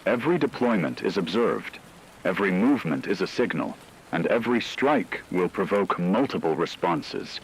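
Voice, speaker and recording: Deep-voiced grave actor as male war-news anchor. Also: expressive, moderate pace, a little hiss or hum, poor audio. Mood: grave, urgent. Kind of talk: scripted emergency radio news broadcast.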